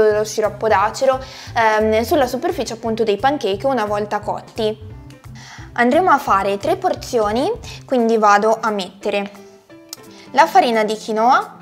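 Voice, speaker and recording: A young woman presenting a recipe tutorial to camera from her home kitchen, chatty and explanatory, pausing often on fillers. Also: on the high side. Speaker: cooking channel host.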